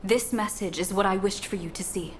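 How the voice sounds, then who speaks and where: A woman's voice: mid-range voice, a little fast, a little hiss or hum, lightly acted. An actress playing a solemn warrior woman in a video game, earnest, with stiff formal diction. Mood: grave, formal.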